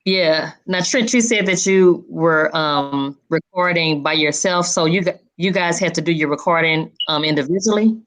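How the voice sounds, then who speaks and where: A woman's voice: sits low, normal speed, few pauses, poor audio. A woman interviewing guests in a casual chat, asking questions and dropping in short affirmations. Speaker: interviewer, show host.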